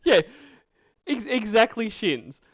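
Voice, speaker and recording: A man's voice: high voice, big pitch swings, takes it slowly, no room sound. A man hosting a livestream, giving casual, sarcastic commentary in a mocking, profane way. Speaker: livestream host reacting to recorded calls. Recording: dull lo-fi stream audio.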